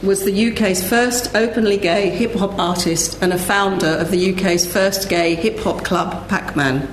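A woman's voice: medium pitch, moderate pace. A woman chairing a panel at a live event, introducing the speakers in a partly scripted way. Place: indoor event room with audience. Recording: echoey room, slight background noise.

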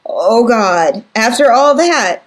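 A woman voicing an irritable, tough teenage girl who talks to her younger brother in a sharp, exasperated way. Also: fairly high pitch, lively intonation, slow pace, voice pushed up. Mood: frantic.

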